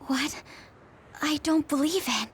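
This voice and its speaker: A woman's voice: high voice, takes it slowly, slight background noise. An actress voicing a sweet, easily flustered girl in an English-dubbed anime game. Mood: surprised.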